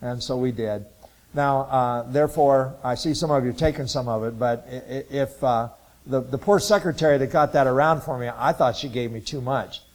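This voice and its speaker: A man in his sixties, raised in Ohio, talks to a church gathering about his work teaching future missionaries. His delivery is halting and rambling, full of filler sounds and restarts. His voice sits at an ordinary middle pitch for a man. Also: a little mellow, rough recording. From Ohio, USA. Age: 60s. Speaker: Bible college missions teacher. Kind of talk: informal testimony talk to a church group.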